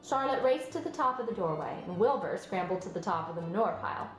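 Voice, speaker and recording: A woman's voice: mid-range voice, expressive, quick pace. A woman reading a children's story aloud as scripted narration. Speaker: storybook reader. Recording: roomy sound, music behind. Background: music.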